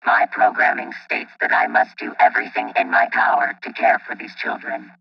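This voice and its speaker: A man's voice: extremely low pitch, moderate pace, vocal fry, slightly rough, no echo, full character voice. A man playing a stoic robot guardian in a radio play, speaking formally through robotic voice processing. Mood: stoic, alert. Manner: formal, flat machine-like phrasing. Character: stoic robot protector devoted to two children. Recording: muffled, band-limited robot sound over music.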